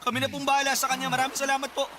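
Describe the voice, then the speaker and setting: A man acting a polite, apologetic young nephew with a Filipino accent, soothing and hurried, in a Tagalog radio drama. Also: very high voice, completely flat delivery. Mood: apologetic, urgent. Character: apologetic, placating young nephew calming his uncle. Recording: noisy ambient scene.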